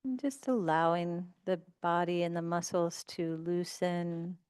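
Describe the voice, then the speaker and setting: A woman with a smooth, clear and fairly low voice leads a guided meditation. She gives gentle, unhurried instructions and leaves long pauses between phrases, as a meditation teacher does. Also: fairly even intonation.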